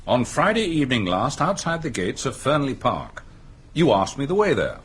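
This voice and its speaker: An actor playing a thoughtful middle-aged country doctor who also narrates a radio play, in a measured, reflective manner. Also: male voice, medium pitch, sing-song, a bit bright, slightly rough. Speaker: narrator of the story. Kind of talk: radio drama acting.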